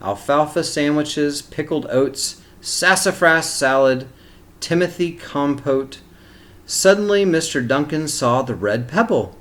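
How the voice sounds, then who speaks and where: A man's voice: a little high, animated, takes it slowly, fairly bright tone. A man reading a picture book aloud expressively and explaining it to young children as a teacher. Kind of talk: animated children's storybook read-aloud with asides.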